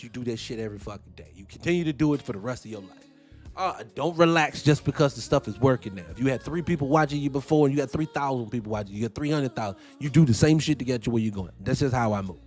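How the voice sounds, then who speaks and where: A young adult man hosting a talk show, delivering heated, profane commentary with rambling tangents. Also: medium pitch. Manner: profane, animated, ranting with tangents. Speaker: host.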